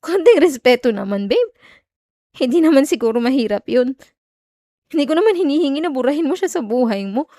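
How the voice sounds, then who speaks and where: A woman's voice: high voice, animated, fairly quick, warm tone, no echo, clean studio sound. A woman with a Filipino accent playing a jealous, hurt young girlfriend in an emotional, sarcastic audio roleplay. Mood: angry, exasperated. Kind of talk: Tagalog ASMR girlfriend roleplay, acted monologue.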